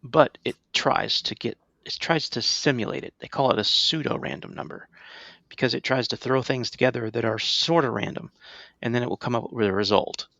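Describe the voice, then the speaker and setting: A man's voice: medium pitch, a little fast, creaky, pushed and bright, dry recording, dull audio. A middle-aged man co-hosting a podcast, explaining things in casual chat and often checking in with the listener. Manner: conversational, checks in with "right?". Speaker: podcast co-host.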